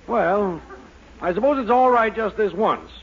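A man playing an exasperated, sarcastic middle-aged boss with drawn-out emphasis in a 1940s radio sitcom. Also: sits high, lively intonation, breathy edge, exaggerated voice. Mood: grudging, bossy. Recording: dull old radio recording.

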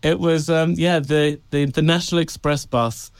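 A man's voice: a little high, steady tone, normal speed, clear, resonant tone, no echo, clean studio sound. A man from Wales, chatting as a guest in an interview and telling stories with a laugh, recorded in an office. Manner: chatty, laughs often, storytelling. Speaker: guest, PR agency director. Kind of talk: casual interview chat.

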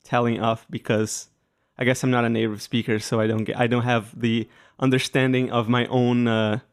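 A Brazilian-accented man hosts a casual podcast chat, loose and hesitant, often stopping on fillers. His voice sits at an ordinary middle pitch. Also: level tone, moderate pace. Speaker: podcast host.

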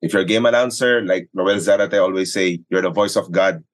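Casting: male sports announcer, Filipino accent, lowish pitch, casual interview delivery with emphatic explanations. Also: steady tone, brisk. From Philippines. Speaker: sports announcer and radio commentator, interview guest. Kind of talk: casual podcast chat, code-switching.

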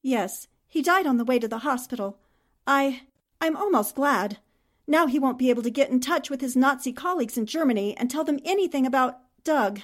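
A woman's voice: high-pitched, expressive, fairly quick. A woman playing a plucky, emotional newspaperwoman heroine, earnest and dramatic in 1940s radio style. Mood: earnest relief.

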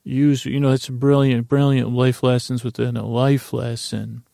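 Creaky-voiced man rambling for a bedtime podcast. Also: medium pitch, little pitch movement, dark tone, dry recording, studio quality. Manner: halting, meandering, repeats himself. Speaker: podcast host. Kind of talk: rambling improvised bedtime storytelling.

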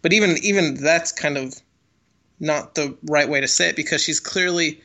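Male co-host, crisp bright tone with gravelly creak, analytical, thinking aloud, conversational. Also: a little high, normal speed. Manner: thinking aloud, checking with "right?". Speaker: podcast co-host. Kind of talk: casual analytical discussion.

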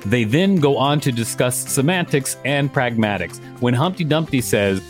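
A man with a mid-pitched voice co-hosts a podcast. He reads reference material aloud and chats casually around it, with guitar music playing behind him. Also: lively intonation, moderate pace. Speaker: podcast co-host. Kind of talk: reading an encyclopedia article aloud with casual commentary.

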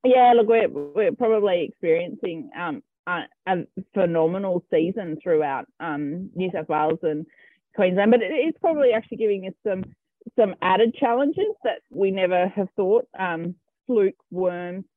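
Aussie farmer guy, high-ish voice, lots of ums, sounds like he's on the phone. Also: normal speed, no echo. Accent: Australian. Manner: hesitant, full of ums and restarts. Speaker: cattle farmer guest. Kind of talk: casual interview answers. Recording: tinny phone-line sound, dry.

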